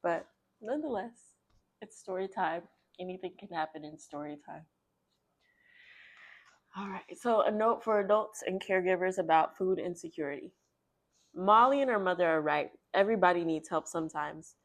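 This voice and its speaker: A woman hosting a children's read-aloud, speaking in an expressive, emphatic storyteller's manner. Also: lowish voice, moderate pace, long pauses.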